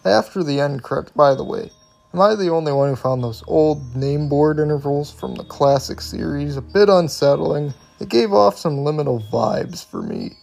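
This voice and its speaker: A man's voice: on the high side, moderate pace, creaky, a little mellow. A man hosting a casual video essay on horror clips, speaking in an informal, opinionated way with plenty of swearing. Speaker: host of a video series reviewing analog horror.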